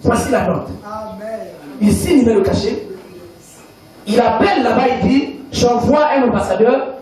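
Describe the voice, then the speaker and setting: A high-pitched man from Congo delivers an emphatic sermon, repeating his points as a congregation answers back. The sound comes from a distance, in a large echoing hall. Voices of the crowd are clearly audible behind him. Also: normal speed. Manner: emphatic preaching with repetition and call-and-response. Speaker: pastor. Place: church hall. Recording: echoey hall, mic from far off, congregation audible. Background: congregation responding amen.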